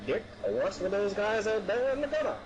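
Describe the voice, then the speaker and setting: A man voicing a young male high-school student in an amateur script reading, with an exaggerated, casual delivery. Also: animated, normal speed, muffled recording, background noise. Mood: casual, chirpy.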